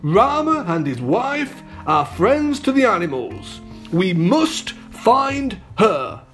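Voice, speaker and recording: A man voicing a loyal little monkey king in a children's storybook, earnest and drawn-out. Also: high voice, very animated, fairly bright tone, soft background music, voice pushed up, exaggerated voice. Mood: urgent, rallying.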